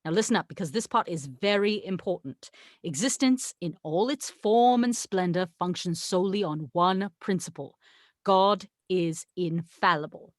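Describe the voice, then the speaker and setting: A woman's voice: lowish voice, normal speed, no echo, clean studio sound, lightly acted. A woman reading the part of a haughty, sarcastic angel in a script table read, with a wry, exasperated, condescending manner. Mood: grave, insistent. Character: haughty, sarcastic tequila-loving angel, the voice of God.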